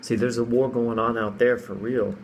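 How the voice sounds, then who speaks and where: A man reciting spoken-word slam poetry in an earnest, emphatic way, with rhythmic rhymes and deliberate pauses. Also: medium pitch, flat delivery, a little hiss or hum. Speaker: slam poet.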